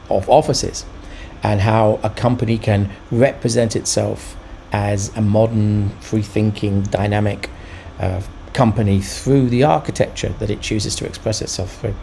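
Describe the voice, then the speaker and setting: A middle-aged or older man giving a casual, reflective commentary as an artist, thoughtful and halting but enthusiastic. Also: on the low side, fairly even intonation, creaky, dark tone, a little hiss or hum. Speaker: urban sketching vlogger and artist.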